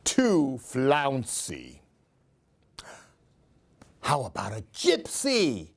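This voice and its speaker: A man tells a picture-book story for young children. His delivery is slow and sing-song, with a very lively pitch that rises and dips. He talks directly to his little listeners. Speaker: storyteller reading picture books for a library program. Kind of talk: expressive children's story reading.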